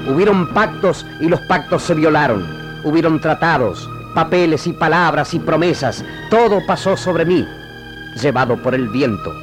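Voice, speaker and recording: An actor with an Argentine accent voicing the vast pampa as a solemn, poetic epic storyteller in a radio drama. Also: male voice, a little high, lively intonation, moderate pace, over music, close to an everyday voice. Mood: epic, grave. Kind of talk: scripted radio drama narration.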